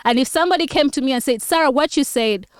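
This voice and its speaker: A woman's voice: high voice, quick pace, dry recording, clean studio sound. A woman co-hosting a talk show, debating heatedly and repeating herself for emphasis.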